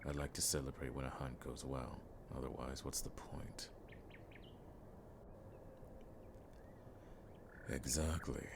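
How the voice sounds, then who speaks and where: Man playing a very deep gravelly monster hunter. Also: fairly even intonation, background noise. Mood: relaxed, wry. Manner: measured, wry, old-fashioned turns of phrase. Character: seasoned male monster hunter, world-weary, dryly friendly. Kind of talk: fantasy audio roleplay acting to the listener.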